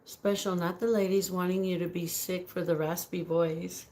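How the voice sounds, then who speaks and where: A woman with a raspy voice chatting casually on a live stream, greeting viewers by name, giggling often and slipping Spanish words into her talk. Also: fairly low pitch, steady tone, normal speed, darkish tone. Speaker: livestream host reading viewer comments.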